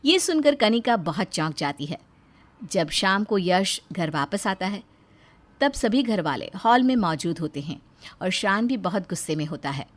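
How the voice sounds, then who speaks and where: A woman's voice: mid-range voice, very animated, measured pace. A woman narrating a scripted cartoon family story as storyteller.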